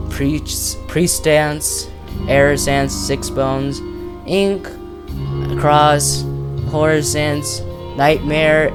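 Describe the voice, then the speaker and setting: A casual speaker giving unscripted, rambling commentary, thinking aloud with slangy, hesitant phrasing. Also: medium pitch. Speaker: video creator presenting a character ranking. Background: music, plucked guitar.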